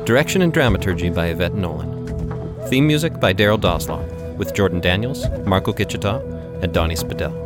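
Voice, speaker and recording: A man with a deep voice presents an audio drama. He reads scripted credits while loud theme music plays underneath. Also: animated, a little fast. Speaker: presenter and sound designer. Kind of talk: scripted podcast introduction and credits.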